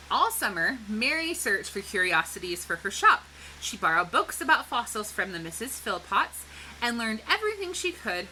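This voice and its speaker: A woman's voice: medium pitch, bright, forceful tone, slight background noise, rough recording. A woman reading a children's book aloud expressively, with dramatic emphasis and a teacherly way of explaining. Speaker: storybook reader.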